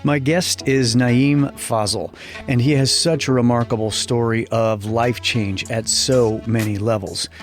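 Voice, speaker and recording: A man hosts an interview podcast in a mid-pitched voice, with faint music behind him. His delivery moves between prepared introduction and conversational questioning. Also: fairly even intonation, a little slow. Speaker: podcast host.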